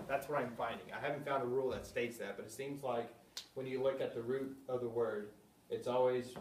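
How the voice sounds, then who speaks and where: A man teaching a basic language class, thinking aloud and spelling out words slowly. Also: medium pitch, far from the mic. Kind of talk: classroom lesson at a whiteboard. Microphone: camera at a distance. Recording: echoey room, voice from afar, faint hum.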